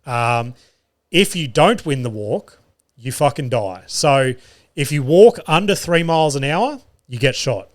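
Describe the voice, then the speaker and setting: A man's voice: mid-range voice, lively intonation, unhurried. A young man hosting his solo podcast, telling comedy-gig stories in a loose, sweary way and laughing at his own jokes. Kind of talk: casual solo podcast storytelling. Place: studio.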